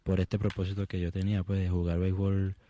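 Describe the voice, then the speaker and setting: A man's voice: low-pitched, monotone, quick pace, mellow, dull audio. A young man with a Venezuelan accent, an athlete talking earnestly in an interview, repeating words as he thinks. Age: young adult. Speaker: interviewee, baseball player. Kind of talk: casual interview.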